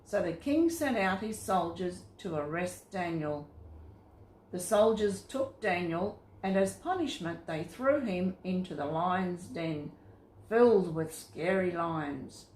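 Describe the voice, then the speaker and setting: A woman reading scripted Bible stories to children in a simple, expressive storytelling manner. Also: lowish voice, slow, a little hiss or hum. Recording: roomy, echoing sound.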